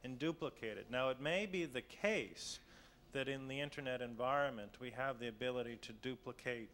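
A man in his thirties making a measured, argumentative policy case as a panelist in a hotel conference room, into a table mic. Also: medium pitch, fairly bright tone, slight background noise. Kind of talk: panel discussion. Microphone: table mic on a stand.

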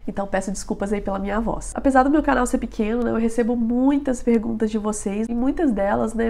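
A woman's voice: on the high side, vocal fry. Chatty woman with a stuffy cold voice. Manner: chatty, lots of filler tags, animated hand gestures. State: nasal from a head cold. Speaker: vlogger. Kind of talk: casual study-advice vlog. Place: small bedroom indoors.

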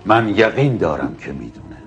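Proud intense brooding man, old tv play, declamatory, very animated pitch, music behind. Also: highs cut off. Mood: stern. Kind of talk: televised stage drama acting.

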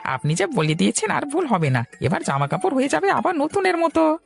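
A cheeky, deferential village laundry worker in a cartoon. The voice is high and gravelly, swinging widely as it teases and tries to please. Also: female voice, huge pitch swings, a little fast, heavily acted. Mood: obliging. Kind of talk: children's cartoon voice acting. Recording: music behind the voice. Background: music.

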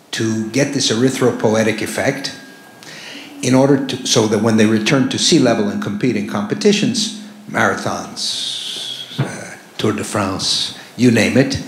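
A man in the audience with a loud voice, asking a long, rambling question full of fillers and restarts. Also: fairly low pitch. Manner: rambling, self-deprecating, many fillers. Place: large lecture hall with audience. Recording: echoey hall, faint noise.

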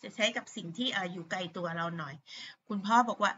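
A woman's voice: medium pitch, sharp tone, slight background noise. A middle-aged woman with a Thai accent, an English teacher patiently explaining vocabulary to pupils with many fillers. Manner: patient, repeats words slowly, many fillers. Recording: dull lo-fi sound.

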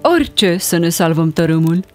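A woman voicing a kind, gracious heroine in an animated fairy tale, with a gentle, sincere manner. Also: fairly low pitch, over music, natural voice.

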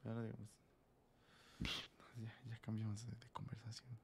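A man's voice: fairly low pitch, completely flat delivery, moderate pace. A man with a Mexican accent chatting casually as he streams a game. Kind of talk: casual chat while gaming. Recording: clearly noisy mic with clicks.

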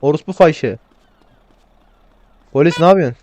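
A man's voice: fairly high pitch, normal speed, darkish tone, slight background noise. A man playing an online roleplay game, bantering crudely with heavy swearing and flips into mock politeness while provoking other players. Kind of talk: casual gaming banter, trolling other players.

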